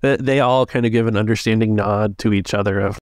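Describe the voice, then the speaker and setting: A man's voice: fairly low pitch, steady tone, a little fast, no echo, studio quality. A man acting as game master, narrating scenes and refereeing a tabletop role-playing game.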